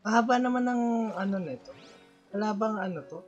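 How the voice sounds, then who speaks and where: A woman's voice: medium pitch, fast, highs cut off, faint music behind. A woman with a Filipino accent giving casual, playful gameplay commentary, teasing and chatting fondly to the characters. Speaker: game streamer.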